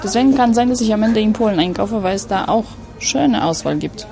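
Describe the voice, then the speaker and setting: A woman's voice: mid-range voice, expressive, brisk, gravelly, warm tone, soft background music. A woman shopper whose first language is Polish, speaking hesitantly and carefully in a short interview.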